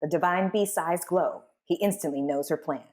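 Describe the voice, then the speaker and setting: A woman's voice: fairly low pitch, normal speed, darkish tone. A woman hosting a live table read and narrating the scripted scenes. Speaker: host and narrator.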